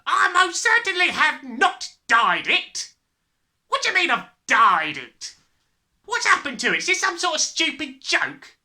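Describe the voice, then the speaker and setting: A woman voicing a blustering, panicky, vain middle-aged British father who yells his lines in a storybook. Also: high voice, sing-song, measured pace, pitched above the performer's own voice, exaggerated voice. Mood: indignant. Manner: yelling, blustering, exclamatory.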